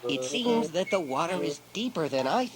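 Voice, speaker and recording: A woman's voice: on the low side, big pitch swings, moderate pace. A woman voicing a sly male fox who coaxes sweetly and falsely in a children's storybook recording. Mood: sly, coaxing. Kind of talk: children's storybook audio acting. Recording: loud music behind the voice. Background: music.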